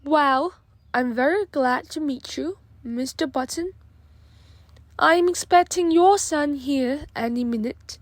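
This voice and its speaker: Actress as disbelieving registrar, haughty, stern, high pitch, slow deliberate delivery, radio drama. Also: female voice, no echo. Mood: polite. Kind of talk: amateur radio drama acting.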